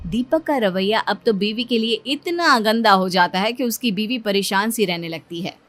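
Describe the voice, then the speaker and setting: A woman's voice: mid-range voice, normal speed, a bit bright. A woman narrating a story in a scripted storytelling style. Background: music.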